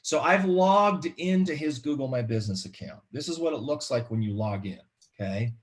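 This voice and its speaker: A middle-aged man with an American accent explaining informally on a video call, halting and repeating words as he goes. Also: medium pitch, animated. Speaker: webinar guest presenter, marketing expert. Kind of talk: informal explanatory webinar talk. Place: home room, indoors.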